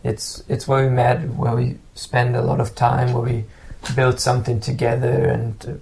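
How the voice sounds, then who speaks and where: A man, a guest musician who speaks haltingly and repeats words as he looks for them. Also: medium pitch, completely flat delivery, gravelly, a crowd in the background, low-quality recording. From Germany. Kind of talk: casual interview talk.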